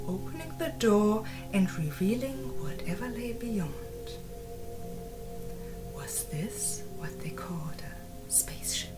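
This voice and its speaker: A woman narrating a fantasy audiobook in a soft, breathy, hushed voice. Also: mid-range voice, poor audio. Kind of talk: scripted narration. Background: faint music.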